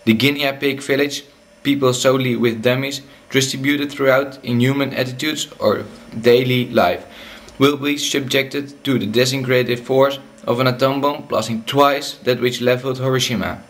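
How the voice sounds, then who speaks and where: A man's voice: mid-range voice, steady tone, normal speed, a bit bright, natural voice. A man playing a formal, urgent radio news announcer delivering bulletins in a radio play. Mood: solemn.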